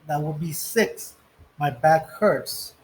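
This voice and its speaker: A man's voice: a little high, takes it slowly, darkish tone, low-quality recording. A man teaching an English lesson, going through textbook exercises step by step and reading the example phrases aloud.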